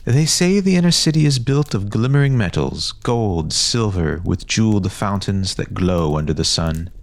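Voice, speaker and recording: A man voicing an excited, coaxing boy who leads his friends on adventures in an audio story. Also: lowish voice, normal speed, pitched above the performer's own voice. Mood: eager, wondering. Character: eager, persuasive adventurous boy, ringleader of friends.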